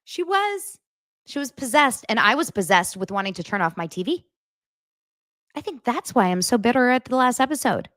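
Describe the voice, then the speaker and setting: A woman's voice: a little high, sing-song, moderate pace, fairly bright tone, dry recording, clean studio sound. A woman hosting a daily pop culture show, chatting to her audience in a gossipy, exaggerated way. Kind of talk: casual chat to camera.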